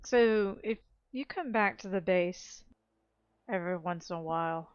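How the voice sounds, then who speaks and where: A woman's voice: fairly low pitch, measured pace, smooth, no room sound, highs cut off. A woman giving casual gameplay commentary as a let's play host, chatting and thinking aloud as she goes.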